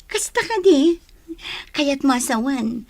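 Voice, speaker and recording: Woman acting excitable high-pitched young Ilocana cousin. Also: takes it slowly, gravelly, full character voice. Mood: nosy. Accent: Ilocano Filipino. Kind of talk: Ilocano comedy radio drama acting.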